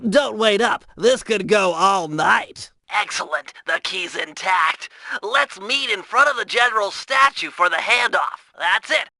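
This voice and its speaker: An extremely high, creaky young turtle brainiac from a cartoon game. He is nasal and precise, an earnest planner who explains everything in wordy technical terms. The sound is very sharp and forceful. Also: no echo, heavily acted. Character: nerdy, brainy young male turtle tech genius, earnest, excitable. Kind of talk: cartoon video game voice lines.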